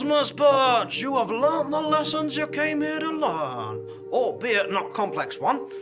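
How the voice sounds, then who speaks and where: A high, swooping storybook guide. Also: male voice, full character voice. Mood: jubilant. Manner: theatrical, proclaiming. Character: fairy-godmother-like guide of Oz, theatrical, chirpy, blunt. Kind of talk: live comedy stage acting.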